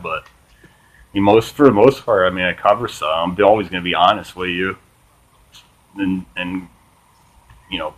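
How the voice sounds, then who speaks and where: A man hosting a small political commentary channel, reading from an article and chatting casually, with a flat monotone delivery and lots of ums and uhs. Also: low-pitched, poor audio. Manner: swears casually, says "man" often.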